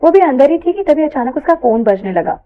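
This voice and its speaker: A woman reading a scripted story aloud as an expressive narrator. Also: sits high, fairly quick, phone-quality sound.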